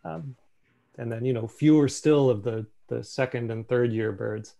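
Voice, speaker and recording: A man's voice: mid-range voice, moderate pace, creaky, warm tone. A man casually presenting a slideshow on a video call. He thinks aloud, with fillers and restarts. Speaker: meeting presenter.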